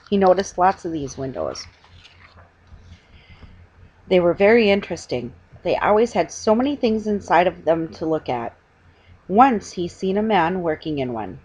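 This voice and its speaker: Woman reading a kids story out loud, kinda low voice, bit halting, muffled lo-fi home recording. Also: slightly rough. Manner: halting reading with stumbles and self-corrections. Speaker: reader. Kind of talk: storybook read-aloud. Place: small living room at home, indoors. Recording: dull lo-fi webcam sound.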